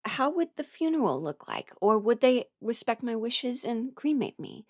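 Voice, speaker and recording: A young adult woman talking frankly and haltingly in a candid personal ramble, often quoting her own thoughts as she goes. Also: on the high side, big pitch swings, no room sound, clean studio sound. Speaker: voice performer sharing a personal ramble.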